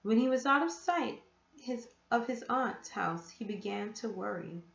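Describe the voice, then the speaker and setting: A woman reads a children's book aloud in a fairly high voice. Her storytelling is animated and swings widely in pitch. The sound is echoey, as if in a bare room. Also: unhurried, creaky, muffled recording. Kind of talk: expressive children's read-aloud narration.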